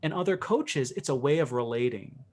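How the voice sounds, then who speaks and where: A man with a medium-pitched voice talks as a podcast guest. He is reflective and conversational, often restarting a thought and rewording it as he explains his work as a coach. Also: lively intonation, moderate pace, a little mellow. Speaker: interview guest, professional coach.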